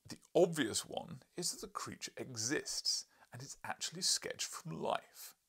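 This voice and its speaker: A man's voice: on the high side, lively intonation, a little slow, fairly bright tone, husky, pitched above the performer's own voice, heavily acted. A man voicing a blustering, pompous, irascible professor in an audiobook, bellowing with scornful emphasis.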